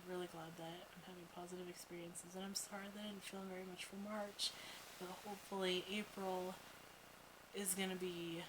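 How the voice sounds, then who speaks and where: A young woman vlogging in a casual, chatty way, rambling with lots of "like" and "you know what?". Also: fairly low pitch. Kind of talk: casual video diary chat. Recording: noticeable background noise.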